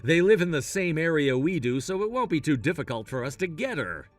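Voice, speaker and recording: A supportive young groom, played by a man in a melodrama, earnest and take-charge. His voice sits a little high with animated swings, recorded dry over soft music. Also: brisk. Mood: explaining. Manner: reassuring, earnest. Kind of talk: scripted melodrama story dub.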